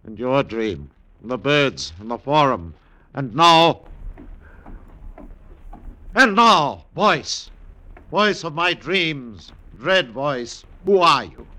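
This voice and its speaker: An actor playing a proud, dread-gripped Roman ruler in a theatrical, imperious radio drama style. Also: male voice, medium pitch, big pitch swings, slow, long pauses, sharp tone, full character voice. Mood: dread, agitated. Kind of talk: classic radio drama acting.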